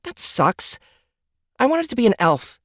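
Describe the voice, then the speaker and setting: Eager geeky daughter played by a woman, brash and slangy, highly animated pitch, dry studio sound. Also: unhurried, dry recording, full character voice. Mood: eager, cheeky. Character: excitable, cheeky geeky gamer daughter. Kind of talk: audiobook character acting.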